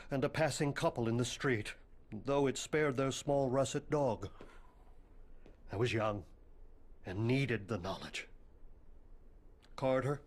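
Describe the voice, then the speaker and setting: An actor playing a morbid, bookish, eccentric occult professor in a video game, speaking formally and pedantically with a deadpan air. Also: male voice, medium pitch, close to an everyday voice. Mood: grave, confessional. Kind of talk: video game cutscene acting.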